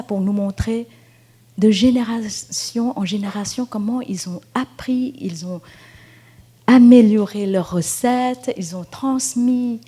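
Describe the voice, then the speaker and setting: A woman with a Vietnamese accent gives a dharma talk, speaking very slowly in a mellow, slightly husky voice. She is gentle and unhurried, pausing often and filling gaps with soft fillers. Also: mid-range voice. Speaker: Buddhist nun teacher. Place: meditation hall at a retreat.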